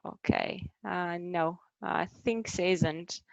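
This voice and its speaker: A woman's voice: lowish voice, steady tone, slow pace, creaky, dry recording. Greek-accented woman on a dull video call. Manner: hesitant, many fillers and restarts. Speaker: co-editor hosting an online book launch. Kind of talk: online panel moderation.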